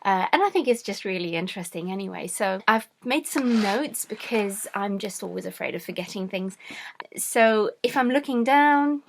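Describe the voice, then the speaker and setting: A middle-aged woman from France, born to British parents, speaking casually and a little haltingly as a craft vlog host. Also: medium pitch, animated, vocal fry, slight background noise. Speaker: host, cross-stitch designer.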